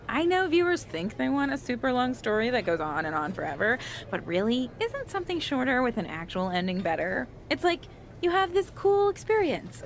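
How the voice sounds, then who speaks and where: An actress playing a wry, chatty documentary interviewer in a wrestling video game cutscene, probing as she talks. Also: high voice.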